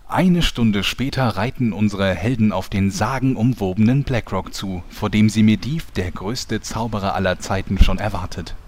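A man as narrator, low male voice, scripted epic storytelling, faint noise, parody audio drama. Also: moderate pace, hardly any pauses, darkish tone, lightly acted.

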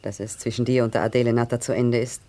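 Proud, restrained wife, deflecting coolly, 1970s radio drama. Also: female voice, extremely low pitch, fairly even intonation, quick pace, warm tone. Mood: cool, cutting. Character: cool, guarded, proud wife parrying her husband.

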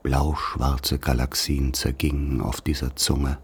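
An actor in a radio play playing a grave man who recalls a dark rural childhood, speaking in solemn, measured, poetic phrases with a husky voice. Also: a bass voice, level tone, full character voice. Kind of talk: literary radio play monologue.

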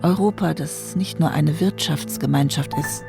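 A hopeful, idealistic narrator of post-war Germany. The voice has a strong creak and speaks earnestly over a clear music bed in a radio drama. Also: mid-range voice, normal speed. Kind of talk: scripted radio drama monologue.

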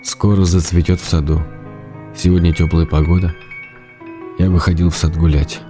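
Actor as deep, mellow, wryly affectionate husband. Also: male voice, flat delivery, moderate pace, faint music behind, lightly acted. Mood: wistful. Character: affectionate, wry, self-restrained writer husband. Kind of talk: dramatised reading of intimate letters, radio play.

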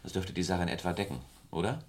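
An actor playing a coolly businesslike male office boss in a German crime radio play, brisk and offhand. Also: sits low, natural voice.

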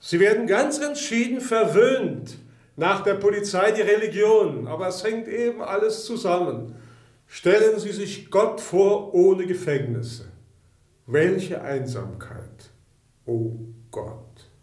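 An actor playing a sardonic, mockingly courteous prison official in a German radio play, in a roomy-sounding recording. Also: male voice, high voice, expressive, slow, darkish tone.

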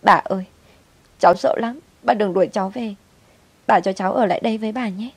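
A timid little girl, played by a woman storyteller, speaks in a gentle, pleading way. She sounds small and tearful, a character in a family drama story. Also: mid-range voice, steady tone, normal speed, close to an everyday voice.

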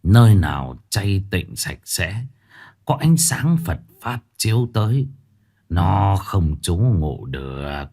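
A stern, weary old fortune teller, his voice very deep and heavy with sighs, as a male narrator puts him on. He draws out every warning slowly, like a spoken horror tale. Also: very animated, a little mellow, heavily acted. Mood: grave. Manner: slow, heavy, sighing delivery.

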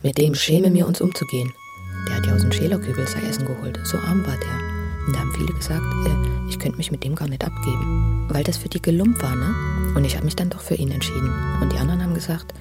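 A person telling personal memories in a spontaneous, confiding way, halting and often repeating words. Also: medium pitch, over loud music. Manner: halting, repetitive, earnest, confiding. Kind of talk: intimate spontaneous storytelling in a documentary radio play.

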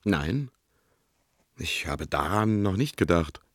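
A soft-hearted, earnest friend in a German radio play. The voice is on the low side, in a very dry room. He phrases things carefully and hesitantly, as if confiding. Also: expressive, takes it slowly, no echo, exaggerated voice. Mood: hurt, hesitant.

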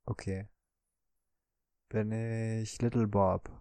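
A man co-hosting a podcast, chatting casually through a guessing game and often pausing on drawn-out fillers. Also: on the low side, flat delivery, mellow, no echo. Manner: hesitant, many drawn-out fillers. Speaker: podcast co-host.

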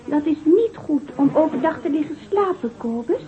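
An actress playing a young village woman who gently nags her sweetheart in a radio play. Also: high-pitched, lively intonation, normal speed, slight background noise. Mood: coaxing. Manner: gently nagging. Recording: tinny phone-like sound.

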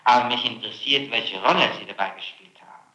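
A man's voice: medium pitch, fast talker, sharp tone. A man questioning a suspect as prosecutor, pressing and accusatory, repeating his points on an old interrogation tape. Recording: echoey, faintly noisy archival interrogation tape.

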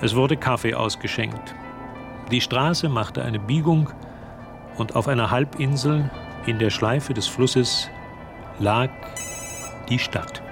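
A composed male storyteller narrates a radio play in scripted, descriptive sentences. His pitch is average, and music runs clearly behind him. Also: slow pace, pauses often.

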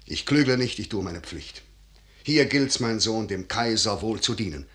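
Male role: grave older general and father, low, forceful voice, declamatory classical radio-play delivery, calculating and solemn. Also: expressive, normal speed. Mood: solemn, earnest. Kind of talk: 1950s German radio play, classical verse acting.

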